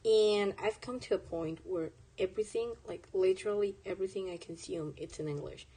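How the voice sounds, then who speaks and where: Husky woman, Spanish native, chatty. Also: medium pitch, brisk, mellow, low-quality recording. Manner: rambling, self-correcting, chatty. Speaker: artist streaming a drawing session. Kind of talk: casual chat while drawing.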